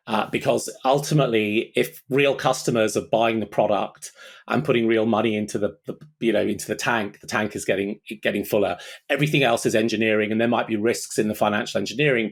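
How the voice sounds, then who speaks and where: A man's voice: fairly low pitch, gravelly, slightly rough. A man speaking as an expert guest in an interview, explaining his thinking aloud step by step in a conversational way. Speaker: expert guest, technology analyst.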